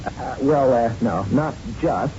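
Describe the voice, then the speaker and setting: An actor playing a cocky, charming young prizefighter in a 1970s radio drama, with breezy, slangy, smooth talk. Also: male voice, mid-range voice, slow, warm tone, soft background music, natural voice. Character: cocky, charming young middleweight boxer and ladies' man. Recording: muffled, faintly noisy old radio broadcast.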